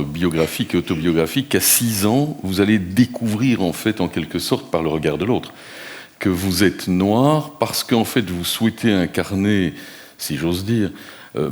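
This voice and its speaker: A man's voice: sits low, a little mellow. A man moderating a public panel discussion, asking long, formal questions with frequent hesitations. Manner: measured, formal, many hesitations.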